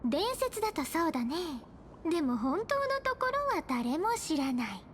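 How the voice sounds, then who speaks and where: A woman's voice: extremely high pitch, very animated, fast talker, slight background noise, exaggerated voice. A woman voicing a cute, curious, playful young girl in an anime-style video game, chirpy and casual. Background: loud game music.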